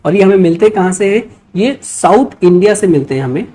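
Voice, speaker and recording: A woman's voice: medium pitch, animated, fairly quick, dark tone. A woman with an Indian accent lecturing as an exam-prep teacher, explaining points step by step and often checking in with short tags. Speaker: history teacher for exam preparation. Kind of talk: explanatory lecture working through quiz questions.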